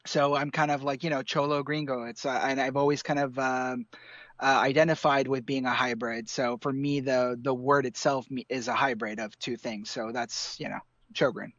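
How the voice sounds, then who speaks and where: A man's voice: medium pitch, normal speed, no room sound, dull audio. A man who grew up in Ecuador, a guest artist chatting casually with many fillers over a remote call. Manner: relaxed, rambling with many fillers. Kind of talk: casual interview chat. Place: home room in hot city with air conditioning.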